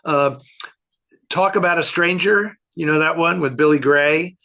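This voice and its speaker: Male film pundit, higher-pitched and bright, loose conversational delivery with halting, think-aloud phrasing. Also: creaky. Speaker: film expert answering fan questions. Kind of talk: casual film discussion.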